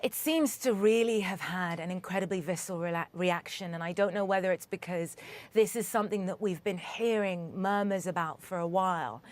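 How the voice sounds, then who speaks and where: A woman correspondent from Sudan answering interview questions in a measured, earnest way, often restarting her sentences as she thinks. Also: lowish voice.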